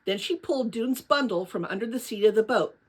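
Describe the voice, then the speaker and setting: A woman reading a children's novel aloud as narrator, with dramatic, expressive storytelling. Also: fairly high pitch, fairly quick, slightly rough.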